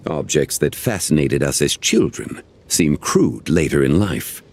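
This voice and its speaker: An actor playing a calm, formal, ancient-seeming gentleman of refined taste, speaking with measured diction in a game cutscene. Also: deep voice, exaggerated voice.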